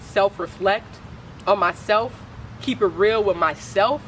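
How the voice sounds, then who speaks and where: A young woman from Oakland with a deep voice, speaking candidly as a poet guest in a casual interview, full of slang and swearing. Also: slow, a little hiss or hum, poor audio. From Oakland, California.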